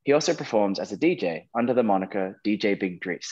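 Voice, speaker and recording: A man's voice: fairly low pitch, lively intonation, fast, a little mellow, husky. A man hosting a quiz, reading questions out to contestants and responding to their answers in an encouraging way. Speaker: quiz host. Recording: dull lo-fi online call audio.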